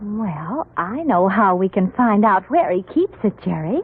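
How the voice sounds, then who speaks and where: An actress playing an eager, scatterbrained American wife and amateur sleuth in a 1940s radio mystery, chatty and exclamatory. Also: female voice, medium pitch, very animated, unhurried, slightly rough, narrow, tinny audio, exaggerated voice. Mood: eager scheming. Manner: breathless, hesitant, chatty, exclaiming golly. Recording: thin, band-limited 1940s radio recording.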